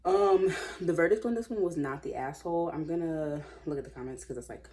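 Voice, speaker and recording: A woman reading aloud and commenting casually, with an informal, opinionated, slangy way of musing out loud. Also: low voice, fairly quick. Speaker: host reading and commenting on online stories.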